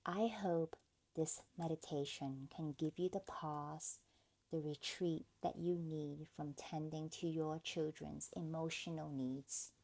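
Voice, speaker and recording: A woman guides a meditation very slowly, with a lot of creak in her voice. Her pitch sits on the low side for a woman. She speaks gently and deliberately, leaving space between short phrases. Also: fairly even intonation. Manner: soothing, gentle, deliberate, with pauses. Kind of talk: guided meditation, scripted narration.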